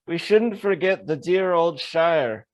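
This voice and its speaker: A man as a slow, high-voiced, dazed little hobbit. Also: lively intonation. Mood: dazed, wistful. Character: small dazed wounded male hobbit, dreamy and tormented. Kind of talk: amateur table read of a film script.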